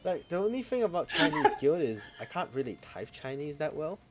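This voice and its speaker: A man co-hosting a casual gaming podcast, relaxed and offhand, swearing casually and trailing off while he hunts for words. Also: a little high, a little fast, very noisy. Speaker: podcast co-host.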